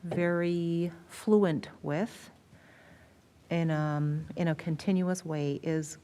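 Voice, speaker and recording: Low-voiced American woman presenting to a board. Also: fairly even intonation, very slow, mellow. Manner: explanatory, repeats words for emphasis. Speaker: school district superintendent. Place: school board meeting room.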